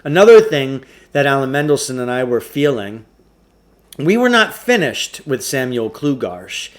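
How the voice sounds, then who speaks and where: A man's voice: mid-range voice, very animated, normal speed. A man reading a children's novel aloud as a storybook narrator.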